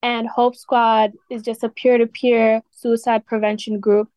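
A teenage girl, a high school student born in Ethiopia, answering radio interview questions earnestly with many fillers like "like" and "you know". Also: flat delivery, a little slow, dark tone, dry recording. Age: teens.

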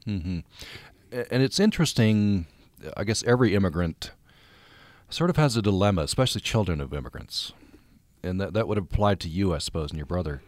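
A man hosting a radio interview, speaking thoughtfully with frequent pauses. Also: low-pitched, animated, a little mellow, no echo. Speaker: radio show host.

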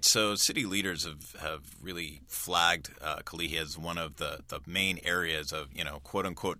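A man's voice: sits low, bright, forceful tone, dry recording. A man speaking as a news reporter, explaining a story informally in a radio conversation.

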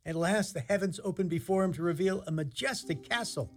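A man's voice: sits high, brisk, faint music behind. A man narrating a scripted radio play as storyteller, in a theatrical and wry manner.

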